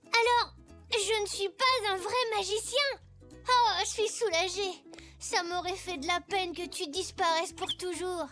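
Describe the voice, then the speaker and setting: A small zebra boy from a children's cartoon, eager and theatrical. The voice is extremely high and takes its time, proclaiming like a stage magician over prominent music. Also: steady tone, unhurried, bright, forceful tone, heavily acted. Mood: relieved. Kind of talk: preschool cartoon acting. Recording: music under the voice.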